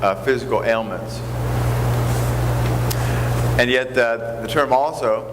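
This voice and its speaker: A man gives a casual, folksy sermon at a mid pitch, wandering into self-deprecating asides. His voice rings with the long reverb of a church sanctuary. A steady air-conditioning hum runs underneath. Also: slow. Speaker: preacher.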